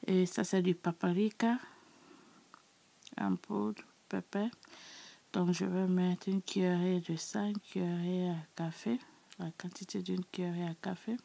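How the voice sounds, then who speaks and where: Woman a bit hoarse from a sore throat, lowish raspy voice, chatting thru a recipe. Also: little pitch movement, slow, pauses often, dark tone. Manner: chatty, addresses her subscribers directly. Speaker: cooking channel host. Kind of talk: casual cooking tutorial.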